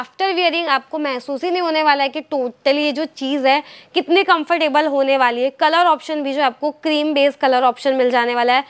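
A woman's voice: high voice, fairly bright tone. A young woman from India presenting clothes for a wholesale outlet in a fluent, upbeat sales patter, recorded indoors in a shop on a lapel mic. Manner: upbeat, fluent, repetitive sales patter mixing in English words. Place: indoor clothing store with stocked shelves.

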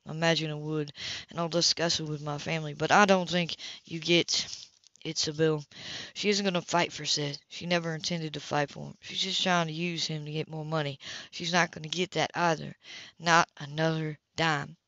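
Blunt, guarded man, pitched low by a woman. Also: flat delivery, moderate pace, fairly bright tone, no room sound, muffled recording. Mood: dismissive. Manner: terse, challenging. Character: blunt, guarded man, protective of his family, sardonic.

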